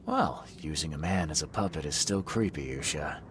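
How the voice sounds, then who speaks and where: An actor playing a weary, skeptical investigator in a video game, with a dry, blunt, probing manner. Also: male voice, very deep voice, animated, normal speed, background noise. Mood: skeptical, stern. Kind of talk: video game dialogue acting.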